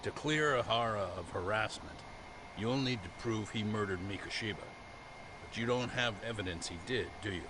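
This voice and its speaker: Male actor as seasoned lawyer, skeptical, measured, lowish pitch, crime drama game, noisy ambience. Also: close to an everyday voice. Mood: skeptical, probing. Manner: measured, questioning. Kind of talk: dramatic English game voice acting.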